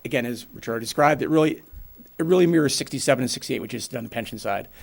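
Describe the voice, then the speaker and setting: A man in his forties with a New England accent, giving a brisk, plainspoken financial presentation to a town board and explaining with everyday examples. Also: medium pitch, gravelly. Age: 40s. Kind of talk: financial presentation at a public meeting.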